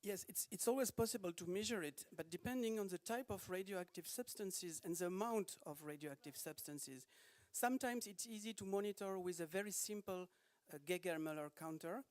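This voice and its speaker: A man's voice: high voice, a little fast. A man with a French accent, a panel expert giving an explanatory answer in English as a non-native speaker. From France. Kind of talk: explanatory answer to an audience question. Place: indoor conference.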